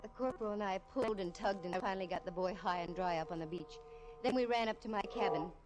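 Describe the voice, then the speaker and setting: A woman's voice: mid-range voice, fast talker, crowd noise, natural voice, poor audio. Wry 1950s radio gumshoe, played by a woman, mid-range voice, breezy delivery. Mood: narrating. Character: wisecracking female private detective narrator. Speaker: detective narrator. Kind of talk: 1950s radio drama acting.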